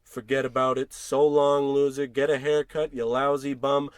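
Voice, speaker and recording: A man's voice: medium pitch, level tone, moderate pace, smooth, dry recording, studio quality, close to an everyday voice. A man playing an irritable, money-worried working-class husband in a blustering, sarcastic old-time radio comedy style. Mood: bitter. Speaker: actor in scripted comedy podcast.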